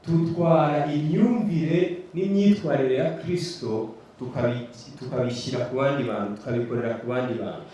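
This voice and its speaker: Guy translating a sermon live, mellow voice, far off the mic in a super echoey hall. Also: mid-range voice, a little fast, lo-fi sound. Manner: steady, rephrases as he goes. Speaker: interpreter. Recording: distant mic, echoey hall, faint noise.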